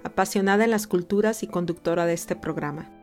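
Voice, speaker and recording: A woman host reading a scripted intro and outro for a show in a clear, deliberate announcing manner. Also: lowish voice, darkish tone. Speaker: podcast host. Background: music.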